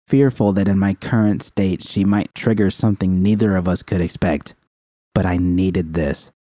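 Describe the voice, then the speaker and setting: A man's voice: lowish voice, mellow, no echo. A man reading scripted first-person dramatic fiction as an audiobook narrator.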